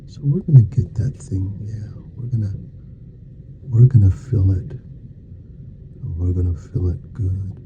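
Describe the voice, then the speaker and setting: A man speaking softly, at times nearly whispering, in an ASMR roleplay as a dentist, with folksy, reassuring small talk in short murmured phrases. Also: low voice, unhurried, pauses often, dull audio, background noise. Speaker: ASMR performer playing a dentist. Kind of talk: ASMR roleplay, soft-spoken to half-whispered.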